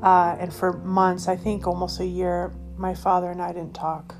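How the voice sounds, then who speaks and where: A woman from Colorado sharing her personal testimony as a ministry host, speaking in an earnest, halting way with many pauses and fillers. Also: fairly low pitch, completely flat delivery, a little mellow, faint music behind. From Colorado, USA.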